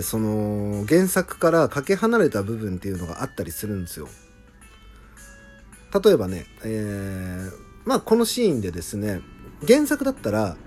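A man speaks alone in a casual podcast style, effusive and emphatic. His pitch swings widely over a mellow, slightly husky tone. He stretches words out for emphasis. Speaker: host, original author of a radio drama. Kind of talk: casual solo podcast talk.

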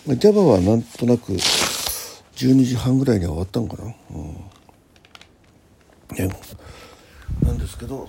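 Low-voiced man with a gravelly edge. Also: mellow, a little hiss or hum. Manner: halting, thinking aloud in short polite phrases. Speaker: radio host. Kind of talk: casual solo chat about daily life.

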